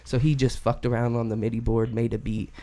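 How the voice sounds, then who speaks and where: A man's voice: mid-range voice, level tone, a little fast, warm tone. A young man casually telling his story as a guest, in a slangy, laid-back way with frequent laughs. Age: young adult. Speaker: guest artist. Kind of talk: casual podcast interview.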